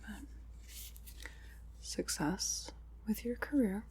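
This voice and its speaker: A woman playing a wry, faintly condescending witch in an ASMR roleplay, whispering softly and musing as she goes. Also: medium pitch, animated, heavily acted, low-quality recording. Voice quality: soft, breathy whispering. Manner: unhurried, musing, dryly teasing.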